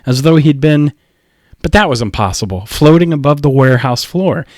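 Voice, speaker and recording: A man's voice: fairly high pitch, moderate pace, darkish tone, dry recording, studio quality. A man narrating an audiobook, reading a scripted story expressively.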